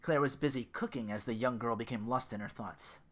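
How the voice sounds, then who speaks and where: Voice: medium pitch, fairly quick, a bit bright. A podcast host reading fan fiction theatrically, breaking off now and then for casual asides. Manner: theatrical, self-interrupting.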